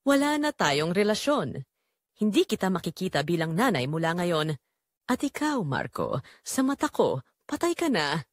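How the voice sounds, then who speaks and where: A woman's voice: fairly low pitch, big pitch swings, moderate pace, no room sound, studio quality. A woman voicing an anguished, betrayed adult daughter in an audio drama, emotional, confrontational and accusing. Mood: cold, final.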